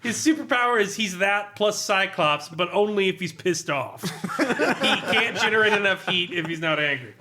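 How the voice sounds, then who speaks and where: High-pitched, bright-voiced man, amused. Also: moderate pace. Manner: amused, sardonic, often laughing while talking. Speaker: podcast host reviewing a tabletop game. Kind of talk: humorous casual review, reading aloud with commentary.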